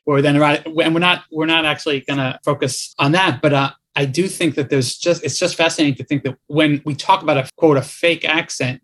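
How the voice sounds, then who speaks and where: A man's voice: fairly high pitch, a bit bright. A man hosting an interview, enthusiastic and musing aloud, who keeps checking in with "right?". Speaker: podcast host, interviewer. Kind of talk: conversational interview.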